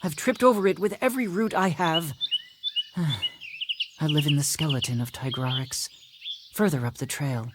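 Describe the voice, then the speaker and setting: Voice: medium pitch, normal speed, pauses often, background noise, close to an everyday voice. Someone doing a grumbly lil plant critter for a fantasy game, put-upon, birds chirping in the back. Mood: grumbling. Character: grumbling small root-legged plant creature helper. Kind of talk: fantasy game character dialogue.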